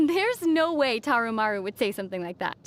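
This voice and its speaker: A woman playing a young female traveler in a video game, gently teasing and reassuring, with a playful, dry manner. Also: on the high side, big pitch swings, a little fast, slight background noise. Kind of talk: video game character dialogue.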